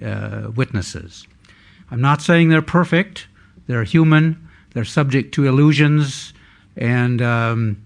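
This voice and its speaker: An older man giving a conference presentation as a researcher, speaking in a measured, halting way with frequent pauses. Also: mid-range voice.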